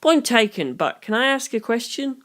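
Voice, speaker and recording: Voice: mid-range voice, very animated, normal speed, fairly bright tone, pitched above the performer's own voice. A narrator doing a blunt, squeamish young man. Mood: disgusted, blunt. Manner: casual, exclamatory, blunt.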